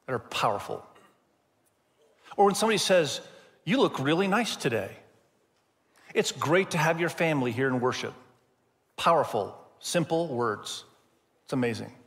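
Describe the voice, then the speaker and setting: A man's voice: medium pitch, gravelly, fairly bright tone. Preaching man in a reverberant hall. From Indonesia. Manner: earnest, emphatic preaching with rhetorical questions. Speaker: pastor. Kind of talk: sermon.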